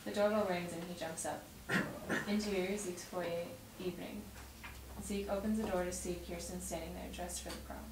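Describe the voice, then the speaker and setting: A young woman narrates a script's stage directions at a table read. Her voice is on the low side, picked up from a distance in a roomy, noisy space. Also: steady tone, normal speed. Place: home dining room, around a table. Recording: roomy, distant mic, clear noise.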